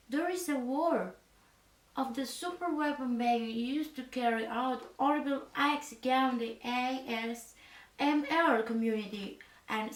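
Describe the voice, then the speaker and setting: A woman playing an earnest resistance fighter in a soft-spoken military ASMR roleplay, with halting, formal delivery. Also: a little high, natural voice. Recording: echoey room, distant from mic.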